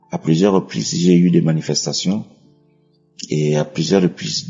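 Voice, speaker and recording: A man giving an earnest, thankful testimony with pauses. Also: deep voice, vocal fry, dark tone, slightly rough, highs cut off. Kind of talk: spoken testimony.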